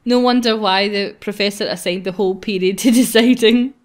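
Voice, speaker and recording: A woman's voice: mid-range voice, a little fast. A woman hosting a gaming video, reading a visual novel aloud and breaking into casual banter.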